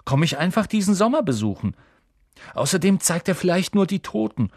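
A man voicing a blunt young schoolboy in a storybook reading, a loyal best friend who grumbles and gets excited quickly. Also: high voice, no room sound, studio quality, voice pushed up. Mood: blunt, chatty.

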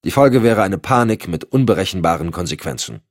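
A man's voice: sits low, animated, a little fast, no room sound, clean studio sound. A man voicing a grave, decisive male president giving firm instructions. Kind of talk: audiobook acting.

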